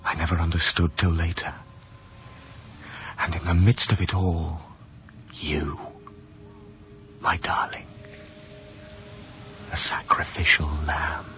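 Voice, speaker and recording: Deep, brooding lovelorn narrator. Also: male voice, flat delivery, whispered, music in the background. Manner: brooding, hushed, measured. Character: grieving, wistful former lover recounting a haunted past. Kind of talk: dramatic first-person radio narration.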